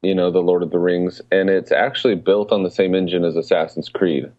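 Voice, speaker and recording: A man's voice: sits low, fairly even intonation, brisk, darkish tone. A man co-hosting a casual gaming podcast, chatting informally about games. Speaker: podcast co-host. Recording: slightly dull, lo-fi audio.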